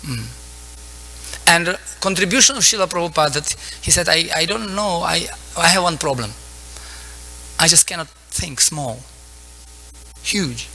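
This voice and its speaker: A man with a fairly high, bright and forceful voice delivers a devotional lecture. He speaks English as a second language, in short broken phrases, pausing to search for words as he explains scripture. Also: lively intonation, breathy edge, rough recording. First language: not English. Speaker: preacher giving a scripture lecture. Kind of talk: devotional sermon with Sanskrit quotations.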